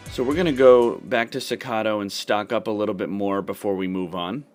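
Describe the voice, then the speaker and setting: A man's voice: fairly low pitch, fairly even intonation, a little fast, faint music behind. A man giving casual step-by-step commentary on a video game playthrough. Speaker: gaming video host.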